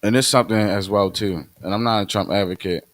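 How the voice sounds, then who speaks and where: A man co-hosting a podcast, talking casually in a halting way with many fillers and restarts. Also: fairly low pitch, steady tone, no room sound, studio quality. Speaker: podcast co-host.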